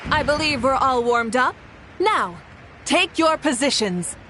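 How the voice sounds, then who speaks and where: Game event leader, fairly high voice, composed, commanding, formal, faint background noise. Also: female voice, lively intonation, unhurried, bright, forceful tone, full character voice. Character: authoritative female tournament host, composed and formal. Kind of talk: video game character dialogue. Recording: faint ambient noise behind.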